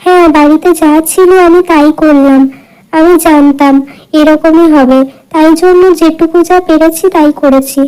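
A woman voicing a humble, patient village wife in a cartoon tale, gentle and plain-spoken. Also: high voice, steady tone, normal speed, natural voice, low-quality recording. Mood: resigned. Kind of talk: cartoon fairy-tale dialogue. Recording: music under the voice.

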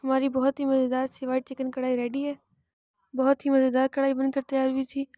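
A woman's voice: high-pitched, monotone, a little fast, dark tone, no echo, clean studio sound. A woman hosting a cooking channel, narrating a recipe step by step in a plain, repetitive instructional voice-over.

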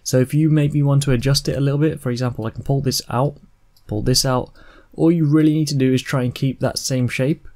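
A man in his twenties talks through a software tutorial at a mid-range pitch. His delivery is informal and explanatory, moving step by step in a casual narrating style. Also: fairly even intonation, brisk. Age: 20s. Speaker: tutorial host.

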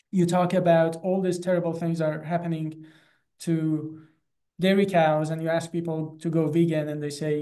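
A man from Iran in his thirties, speaking with an Iranian accent. His voice is soft-edged and mellow, staying on an even line. He talks earnestly and haltingly, like an activist telling his own story in an online interview. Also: on the high side. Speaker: animal rights activist and guest. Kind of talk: personal account in an online interview. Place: small classroom in front of a chalkboard. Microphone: video call, close to computer.

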